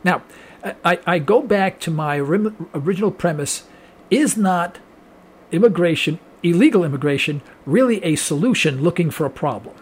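A man's voice: on the high side, expressive, moderate pace, a little mellow, a little hiss or hum. An older man from New York, a radio host who rambles, stammers with repeated words and jokes while interviewing a guest. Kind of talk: casual interview.

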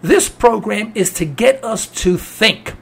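A man's voice: sits high, animated, slow pace, gravelly, fairly bright tone, slightly rough. A man with a New York accent hosting a talk program, delivering a cynical political rant. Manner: cynical, ranting. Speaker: radio talk host.

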